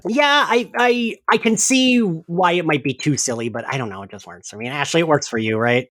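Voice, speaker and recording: A man's voice: a little high, lively intonation, a little fast, fairly bright tone, no room sound, studio quality. A man hosting a podcast in a casual recap discussion, chatty and hedging, often saying "like". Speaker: podcast host.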